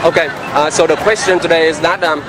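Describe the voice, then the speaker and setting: A man with a Vietnamese accent interviewing people casually in halting, simple English. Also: fairly high pitch, fairly even intonation. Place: outdoors in a busy public area. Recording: noisy street with crowd and traffic.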